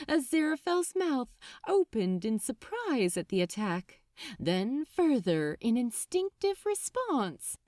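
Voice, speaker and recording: A woman reading fiction as an audiobook narrator, expressive and dramatic, with deliberate phrasing. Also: mid-range voice, no echo, clean studio sound.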